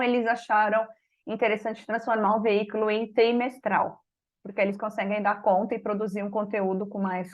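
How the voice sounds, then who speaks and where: A woman with a Brazilian Portuguese accent presenting research at a conference, explaining it in an informal, spoken way with frequent tag questions. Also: medium pitch. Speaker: academic researcher presenting a study.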